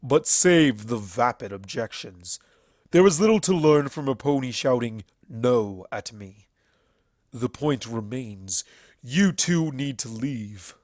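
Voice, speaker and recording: A man's voice: mid-range voice, animated, takes it slowly, a little mellow, dry recording. Contemptuous storybook stallion villain, male narrator acting, terse, bitter, accusatory delivery, dry close sound. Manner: curt, scornful, accusing. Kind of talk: fan fiction audiobook character acting.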